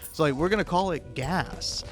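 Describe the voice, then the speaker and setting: A man doing a rambling, casual comedic monologue, halting and profane, often stammering and cutting himself off. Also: fairly high pitch, soft background music. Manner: profane, halting, self-interrupting with stammered repeats; chuckles often while talking. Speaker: host of a comedic video.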